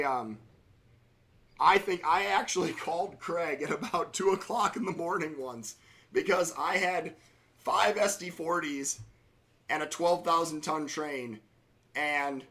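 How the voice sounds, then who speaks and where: A middle-aged man hosting a live train-watching stream, chatting casually in railroad jargon, his voice slightly hoarse from a cold. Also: on the high side, unhurried, sharp tone. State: slightly hoarse, under the weather.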